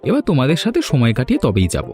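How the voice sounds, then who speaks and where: A man's voice: medium pitch, wildly swooping pitch, rapid-fire, a little mellow, faint music behind. A man playing a cheerful, devoted young student son in a cartoon, eager and affectionate. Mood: happy, affectionate. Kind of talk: Bengali fairy tale cartoon dubbing.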